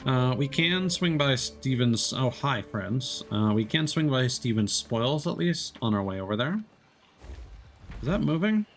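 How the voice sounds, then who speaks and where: A man streaming a video game, chatting along in a relaxed, joking way and laughing often. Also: mid-range voice, measured pace, faint music behind. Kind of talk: casual gameplay commentary.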